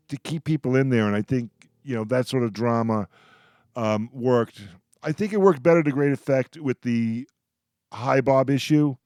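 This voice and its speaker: A man's voice: mid-range voice, darkish tone, smooth, no echo, studio quality. A man chatting casually as a panelist in a discussion about television. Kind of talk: casual chat.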